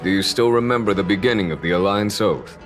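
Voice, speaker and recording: An actor as a deep-voiced solemn commander. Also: male voice, fast talker, natural voice. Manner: resolute, solemn, speech-like. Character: solemn male commander of a sworn alliance. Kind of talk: game voice acting. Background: game music.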